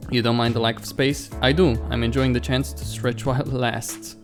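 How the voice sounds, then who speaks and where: A man's voice: medium pitch, level tone, moderate pace. Man reading game dialogue over music. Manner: stumbles and self-corrects while reading. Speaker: let's play host. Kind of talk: reading game dialogue aloud with casual commentary.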